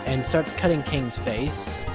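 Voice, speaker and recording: A man's voice: medium pitch, slow. A man reading scripted story narration in the role of narrator. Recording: dry voice over background music.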